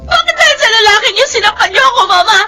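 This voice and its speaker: An actress playing a defiant, scornful young adult daughter in a Filipino radio drama, speaking with a Filipino accent. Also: female voice, very high voice, animated, very fast, sharp tone, soft background music, heavily acted. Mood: angry, tearful. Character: haughty, defiant grown daughter, hurt and scornful.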